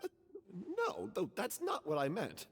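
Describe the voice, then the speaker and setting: An actor voicing an earnest, remorseful and anxious stage performer in a video game. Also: male voice, high voice, wildly swooping pitch, unhurried, a little hiss or hum, full character voice. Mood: flustered.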